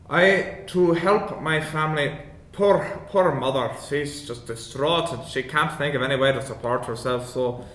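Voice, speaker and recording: A man voicing an anxious, earnest, proud dwarf inventor in a tabletop game, speaking haltingly. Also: fairly bright tone. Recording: roomy echo, rumbling background noise.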